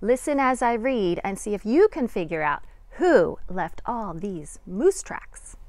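A middle-aged woman, a kindergarten teacher, reading a picture book aloud to young children with deliberate, sing-song dramatic emphasis. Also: medium pitch, very animated, darkish tone, no room sound.

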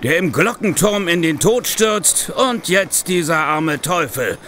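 A gruff chief inspector in a radio play. His fairly high male voice swings expressively, sarcastic and insistent, with faint street noise behind it. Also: animated, measured pace, a bit bright. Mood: grim, certain. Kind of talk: German audio drama acting.

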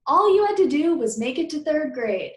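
A woman voicing a cheerful, playful female teacher in an animated, encouraging storybook read-aloud. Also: sits high, normal speed, roomy sound, voice pushed up.

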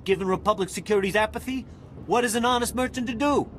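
An actor playing a distraught, indignant alien merchant with formal diction in a video game. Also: male voice, sits high, fast talker, a bit bright, a little hiss or hum. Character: distraught alien merchant, bitter and pleading, devoted mate. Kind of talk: dramatic video game voice acting.

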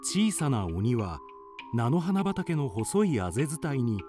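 A man's voice: medium pitch, sing-song, takes it slowly, mellow, soft background music. A man narrating a children's radio drama in scripted storybook style.

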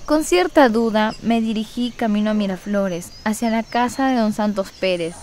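A woman's voice: medium pitch, normal speed, darkish tone. A woman narrates a radio story in the first person. It is scripted storytelling in a mid-range voice, with faint ambient noise and birdsong behind it.

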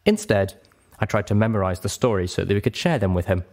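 A man narrating a story as an audiobook reader, in an expressive scripted style. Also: fairly low pitch, fast talker.

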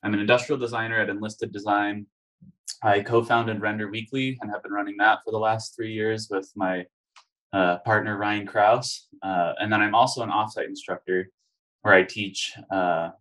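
Young American man, relaxed low voice. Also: normal speed, low-quality recording. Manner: relaxed, hesitant with many "uh"s. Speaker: industrial designer and instructor. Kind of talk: casual self-introduction.

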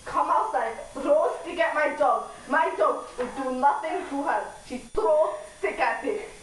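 Young woman playing echoey, high-voiced indignant neighbor. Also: lively intonation, measured pace. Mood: insistent. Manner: broken English, insistent, repetitive. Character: indignant neighbor accusing someone of hurting her dog. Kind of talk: prank phone call. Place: small bedroom with friends, indoors. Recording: echoey room, distant mic, faint noise.

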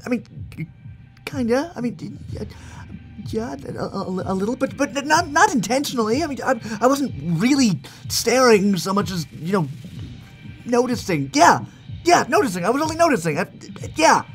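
A man's voice: very high-pitched, very animated, normal speed, soft background music, heavily acted. A man playing an awkward teenage boy in an audio drama, stammering nervously. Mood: flustered.

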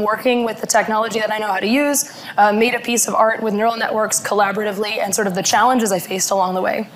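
Hoarse woman giving a conference talk. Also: a little high, a little hiss or hum. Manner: halting, with fillers. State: hoarse from laryngitis. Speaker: conference speaker. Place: conference room.

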